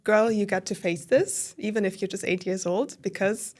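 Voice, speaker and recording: Woman, German accent, thirties, from southern Germany, podcast guest, rambling warm storytelling. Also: mid-range voice, moderate pace. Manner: warm, storytelling with many fillers. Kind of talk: casual interview conversation.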